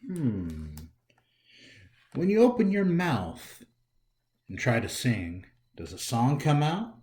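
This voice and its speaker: An American man reads a picture book to young children in a roomy, echoing space. His delivery is theatrical, with pitch that swings widely. He takes his time and speaks straight to his listeners as a storytime host. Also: takes it slowly. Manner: animated, theatrical, talks directly to young listeners. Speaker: library storytime host reading aloud. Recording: roomy, echoey indoor sound.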